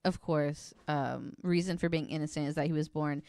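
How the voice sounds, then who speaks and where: A woman's voice: deep voice, fairly even intonation, normal speed, dark tone, dry recording, studio quality. A woman hosting a true crime podcast in casual, giggly, informal talk. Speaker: podcast host.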